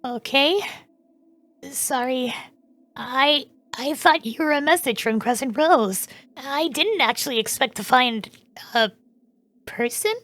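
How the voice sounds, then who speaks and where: A woman's voice: high voice, slow pace, long pauses, a bit bright. A woman in a fan dub voicing a young queen who is cautious, curious and well-meaning, speaking hesitantly and questioningly. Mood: startled, hesitant.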